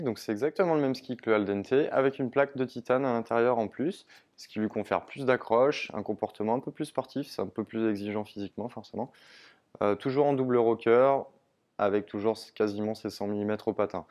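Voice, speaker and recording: Young Frenchman presenting skis, slightly low voice. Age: young adult. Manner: steady, informative, with frequent "euh" fillers. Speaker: ski sales advisor. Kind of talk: product presentation. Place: indoor shop studio in front of a ski wall.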